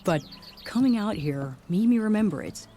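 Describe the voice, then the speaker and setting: An actress playing an excitable, salesy weapon inventor pitching her invention in a game cutscene. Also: normal speed, a little hiss or hum, natural voice. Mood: enthusiastic. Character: excitable weapon-tinkering woman trader, showy salesy. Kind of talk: video game cutscene acting.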